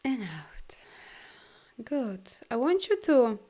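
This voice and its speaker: A woman with a Polish accent, a native Polish speaker, leading a live video talk as a life coach. She speaks in an animated, encouraging way with loose grammar and many "you know"s. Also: on the high side. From Poland. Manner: soft, slow guidance during breathing. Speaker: life coach and energy healer.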